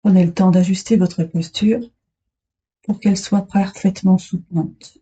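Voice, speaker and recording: Casting: female meditation guide, very dark, soft-edged husky tone, soothing delivery with long pauses, slightly muffled recording. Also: mid-range voice, fairly even intonation. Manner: soothing, unhurried, short phrases with long pauses. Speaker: yoga nidra instructor. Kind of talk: guided meditation, relaxation instructions.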